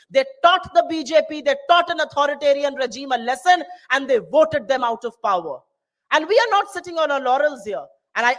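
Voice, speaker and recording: A woman with a high voice, a native Hindi speaker, addresses a town hall audience. She builds her political speech through rousing, repeated lines. Her delivery is passionate. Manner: rousing, repetitive rhetoric.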